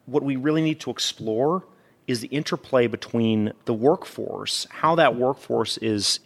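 A man's voice: mid-range voice, creaky, a bit bright. A man giving analytical interview answers as a guest fund manager, emphatic, with rhetorical questions and repeated phrases.

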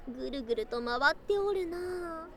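A woman voicing a doting, excitable young lamia bride in an ASMR voice drama, speaking in archaic, old-fashioned phrases with girlish excitement. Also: very high-pitched, normal speed, full character voice. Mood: playful, curious. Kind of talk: ASMR situation voice drama, addressed to the listener.

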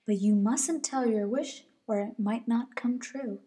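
Teen girl as a little girl bunny, high and sweet, pleading, breathy and mellow. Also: unhurried, natural voice.

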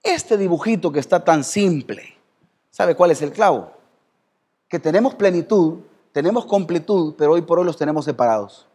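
A man's voice: fairly high pitch, animated, moderate pace, a little mellow, husky. A man with a Salvadoran Spanish accent preaching a sermon as pastor in a church, talking conversationally and calling on members of the congregation by name. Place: church hall.

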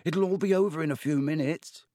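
Grown man doing a nervous friendly little schoolboy, pitched up, audiobook style. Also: fairly quick, warm tone, no echo, clean studio sound, natural voice. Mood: nervous urging. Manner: timid, nervous urging. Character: timid, anxious, friendly round-faced schoolboy.

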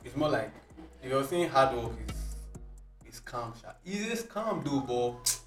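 A man's voice: medium pitch, sing-song, measured pace, fairly bright tone, faint music behind. A young Nigerian man joins a casual talk in a playful, bantering way, repeating words for effect. His voice rings a little in an echoey room. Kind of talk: casual chat.

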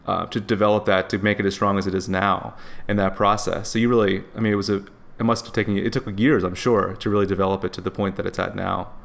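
A man's voice: lowish voice, gravelly, a bit bright. A man hosting a casual interview, asking rambling questions with many restarts. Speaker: podcast host.

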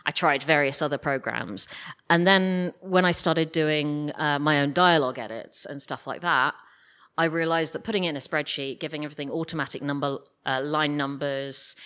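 A woman with a low, bright voice, talking as a guest at a live interview. She explains her working methods informally, in loose, meandering sentences. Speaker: guest, audio drama writer. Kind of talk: live interview, casual chat. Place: live podcast festival stage.

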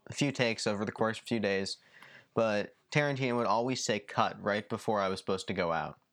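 A young man hosting a podcast, chatting casually, laughing often and jumping in excitedly. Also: on the low side, a little fast. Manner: excitable, laughs often, jumps in over his guest. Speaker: podcast host. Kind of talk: casual chat.

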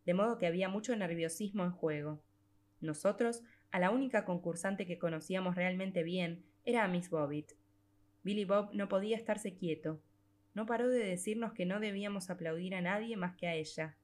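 A woman with a slightly low voice narrates a piece of literary fiction. She reads from a script in a measured way, drawing out certain phrases as an audiobook storyteller does.

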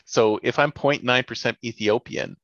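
A man with a gravelly, creaky voice chats casually and sarcastically, laughing now and then. The recording is dry and close, with a dull lo-fi sound. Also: mid-range voice, normal speed, fairly bright tone, no room sound. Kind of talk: casual chat.

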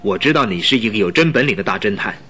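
A man's voice: on the low side, expressive, quick pace, sharp tone, soft background music. An actor playing a proud, anxious young king in a radio drama, speaking emphatically and imperiously. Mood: urgent, indignant.

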